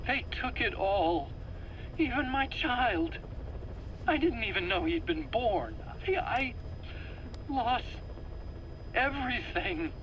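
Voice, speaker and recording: An actor playing an anguished, defensive captive in a video game, speaking in a halting, stammering way. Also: male voice, very high voice, big pitch swings, a bit bright, slight background noise, exaggerated voice, low-quality recording. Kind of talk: dramatic game dialogue.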